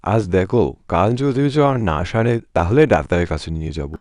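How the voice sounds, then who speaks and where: A man's voice: low voice, expressive, moderate pace, darkish tone, dry recording, clean studio sound. A man playing a stingy village farmer and father in a cartoon fairy tale, with a dramatic, whiny manner. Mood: dismissive.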